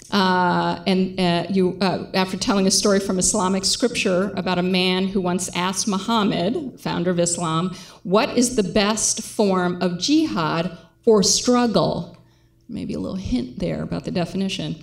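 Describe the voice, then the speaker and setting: A woman moderating a public conversation with a handheld mic, measured, with frequent fillers. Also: medium pitch, gravelly. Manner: measured, reads quotes aloud, many fillers. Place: lecture hall with audience.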